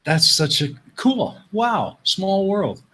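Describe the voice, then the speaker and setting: A man's voice: high voice, big pitch swings, drawn-out words, a bit bright. A man who grew up in Canada with Dutch as his first language, hosting a casual live stream, rambling and repeating himself as he reads viewers' chat.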